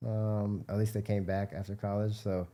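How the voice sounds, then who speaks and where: This is an American man with a lowish, very mellow and relaxed voice. He speaks as a guest in a casual interview. His answers are informal and wander as he explains himself. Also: moderate pace. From United States, Midwest. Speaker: interview guest, biomedical researcher and entrepreneur.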